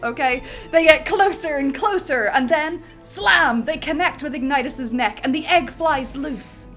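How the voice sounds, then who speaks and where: A woman with a high, bright voice narrates an action script. She is theatrical and playful in a comedic dramatic style, with faint music underneath. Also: measured pace. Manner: theatrical, breaks easily into giggles.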